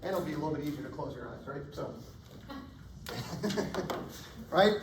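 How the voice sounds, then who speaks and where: A man lectures on chemistry in a relaxed, chatty way, keeping the class with him through frequent "right?" checks. His somewhat high voice is getting hoarse. He is picked up from across an echoey lecture hall with faint background noise. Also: unhurried, far from the mic. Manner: casual, checks in often with "right?". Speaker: chemistry instructor.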